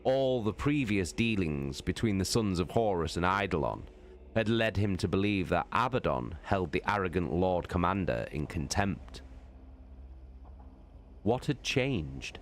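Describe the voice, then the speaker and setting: Male narrator, very low pitch, slow deliberate pace, dramatic audiobook-style science fiction reading. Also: big pitch swings, a little hiss or hum. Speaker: narrator, streamer.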